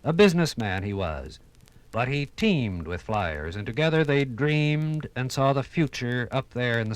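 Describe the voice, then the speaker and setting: An American man narrating a 1950s radio documentary in a theatrical, oratorical manner with dramatic pauses. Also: mid-range voice, no echo. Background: music.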